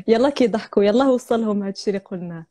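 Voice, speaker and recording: A woman's voice: medium pitch, dark tone. A young woman with a Moroccan Arabic accent hosting a live video chat at home, chatting casually and laughing often as she tells stories. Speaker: host of a live stream. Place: indoor room at home. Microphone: video call, laptop or phone camera.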